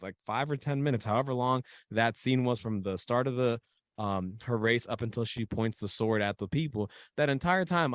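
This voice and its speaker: A man from the Dominican Republic, a guest author in a casual podcast interview, telling a story with excitement and repeating himself as he goes. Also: mid-range voice, no echo, clean studio sound. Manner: animated storyteller, repeats and restarts when excited.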